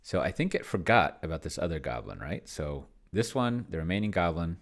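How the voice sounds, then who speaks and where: A man's voice: deep voice, very animated, a little fast. A man giving casual think-aloud commentary on a game, musing to himself and trailing off. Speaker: solo tabletop game player and demonstrator.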